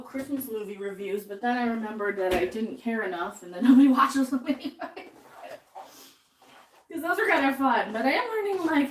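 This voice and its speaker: A woman's voice: a little high, slight background noise, rough recording. A woman chatting casually on a livestream while she cooks in her home kitchen, sniffly from chopping jalapenos and reading viewer comments aloud. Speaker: livestream host cooking.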